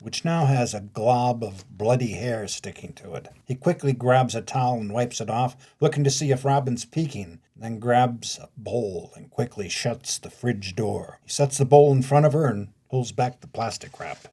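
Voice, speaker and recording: A man's voice: medium pitch, moderate pace, hardly any pauses, dark tone, husky. A man reading stage directions as the scripted narrator of an audio play.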